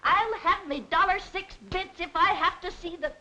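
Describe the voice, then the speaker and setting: A woman's voice: very high-pitched, normal speed, pushed and bright, lo-fi sound. An actress playing an indignant, stubborn woman in a 1940s film, complaining slangily and defiantly. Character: indignant, stubborn woman demanding money she is owed. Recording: noisy, clicking 1940s film soundtrack.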